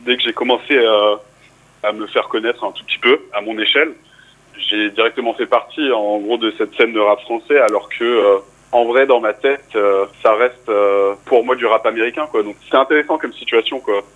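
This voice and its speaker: A man's voice: lowish voice, normal speed, low-quality recording. A young man from France, a rapper guest in a radio interview, talking casually with fillers. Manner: relaxed, casual, speaks with fillers.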